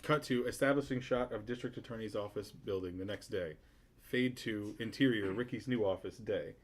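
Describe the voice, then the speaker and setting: A man's voice: on the low side, moderate pace, a little hiss or hum. Man reading screenplay stage directions. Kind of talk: scripted narration.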